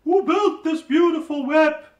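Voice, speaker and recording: A man's voice: very high voice, a little slow, distant mic, dull audio, voice pushed up. A man voicing an inquisitive, hooting owl from a children's storybook in a roomy space. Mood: curious. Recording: roomy, music underneath.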